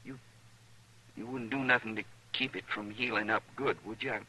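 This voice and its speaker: A sharp-voiced, whining Old West gunfighter. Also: male voice, lowish voice, steady tone, moderate pace, slight background noise, rough recording. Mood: desperate, suspicious. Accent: American. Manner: rustic, pleading drawl with rough grammar. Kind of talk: 1950s radio western acting. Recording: old-time radio recording.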